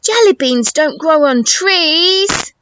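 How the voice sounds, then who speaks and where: An excitable little boy from a storybook adventure, acted out by a woman, exclaiming in wonder and alarm. The voice is very high and swoops around, over a noisy recording. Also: takes it slowly, dull audio, exaggerated voice. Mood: astonished. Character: excitable young boy hero of a dinosaur adventure.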